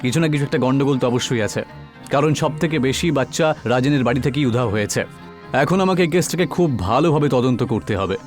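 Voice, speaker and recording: A man's voice: medium pitch, fairly quick, faint music behind, close to an everyday voice. A man voicing a resolute police inspector in a cartoon story, firm and questioning. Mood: puzzled, resolute. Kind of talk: animated story voice acting.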